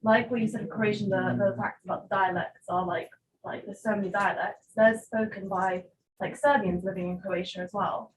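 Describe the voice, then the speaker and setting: A woman's voice: mid-range voice, steady tone, normal speed. Hesitant woman asking a question, noisy room. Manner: hesitant, filler-heavy, many "like"s. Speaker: audience member asking a question.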